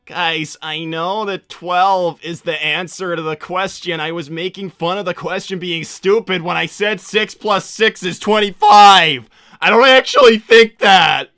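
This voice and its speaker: Teenage male cartoon hedgehog man acting, livestream improv: cocky, easily exasperated, casual and halting, bright forceful tone, slightly raised pitch. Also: fairly even intonation, smooth, no echo, clean studio sound, soft background music. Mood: exasperated, defensive. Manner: casual, halting slacker drawl full of repetition. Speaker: livestream host answering viewer questions in character. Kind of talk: improvised in-character livestream chat.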